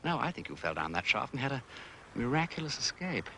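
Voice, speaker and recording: An actor playing an urbane, playful gentleman detective with an upper-class English accent, breezy and courteous in a British detective drama. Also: mid-range voice, big pitch swings, a little fast, a little hiss or hum. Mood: probing.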